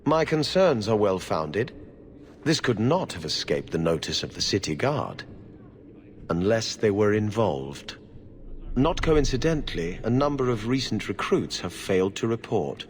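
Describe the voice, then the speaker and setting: An actor playing a haughty, evasive city official in a video game, speaking formally with dry, measured disdain. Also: male voice, on the low side, very animated, in a large hall, slight background noise. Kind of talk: video game cutscene acting.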